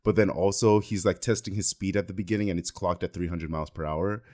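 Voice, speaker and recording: Deep-voiced man on a casual podcast. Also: fast talker, mellow. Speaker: podcast co-host. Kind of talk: casual film discussion.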